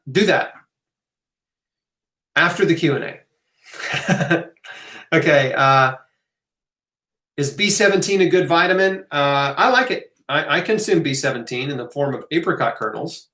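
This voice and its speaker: A man with a fairly high voice hosts a live question-and-answer session in a very roomy space. He talks casually and off the cuff, punctuating his answers with emphatic asides and checking in with the listener. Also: fairly bright tone. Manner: conversational, emphatic asides, rhetorical okays. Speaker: host of a live health Q&A. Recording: roomy, echoing room sound.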